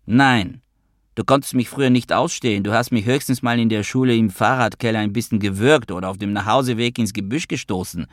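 A man's voice: on the low side, fast, few pauses, no room sound, clean studio sound, close to an everyday voice. A man voicing a big, bossy woman in her twenties, commanding and insistent, in an audiobook. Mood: bossy, reproachful. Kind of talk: audiobook character voice.

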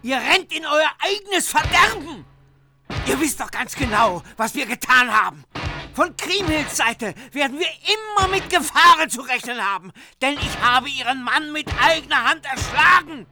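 A grim, defiant warrior with a high, strained voice giving blunt warnings over background music in a radio drama. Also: male voice, lively intonation, normal speed. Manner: urgent, warning, harsh. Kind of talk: radio play acting.

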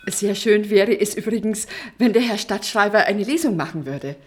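An actress playing a polite, businesslike and slightly tart small-town secretary in a radio drama. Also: female voice, mid-range voice, animated, moderate pace, roomy sound, background noise, close to an everyday voice.

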